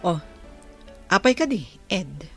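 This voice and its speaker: An actress with an Ilocano accent playing a frank, supportive elder-sister figure in a radio drama, expressive in manner. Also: female voice, fairly low pitch, takes it slowly, vocal fry, music in the background, exaggerated voice. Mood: surprised, frank.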